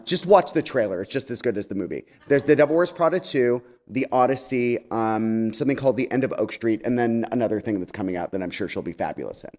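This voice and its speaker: A man's voice: mid-range voice, a little mellow. A man giving a conversational message to a congregation as a guest speaker, chatty and wry, with campy slang.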